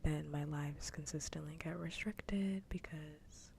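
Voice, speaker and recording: A woman streamer chatting casually in a soft, breathy whisper, rambling along in ASMR style on a livestream. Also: deep voice, fairly even intonation, measured pace, a little hiss or hum. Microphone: close ASMR microphone.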